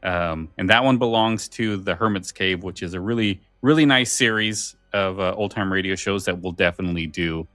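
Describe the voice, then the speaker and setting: A man co-hosting a podcast, moving between casual chat and read-out story summaries, sometimes halting in his phrasing. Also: deep voice, pushed and bright, no room sound, faint music behind. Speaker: podcast co-host.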